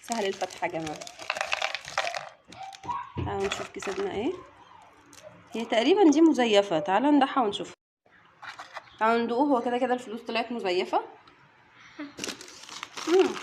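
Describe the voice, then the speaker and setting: A woman's voice: a little high, sing-song, brisk, pauses often, background noise. A woman with an Egyptian accent chatting to viewers while unboxing snacks at home. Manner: chatty, addresses viewers directly. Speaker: vlogger mother. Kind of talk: casual unboxing vlog.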